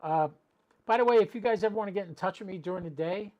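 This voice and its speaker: A man's voice: high voice, fast talker, dull audio. Chatty man hosting a casual livestream. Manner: chatty, rambling, addressing viewers by name. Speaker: amateur radio livestream host.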